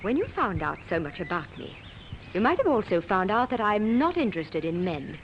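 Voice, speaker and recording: Defiant young woman, animated vintage radio. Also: mid-range voice, moderate pace. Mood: cold, defiant. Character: defiant reclusive young woman artist living by a swamp. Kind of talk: 1950s radio drama acting. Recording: muffled, noisy old 1950s radio recording.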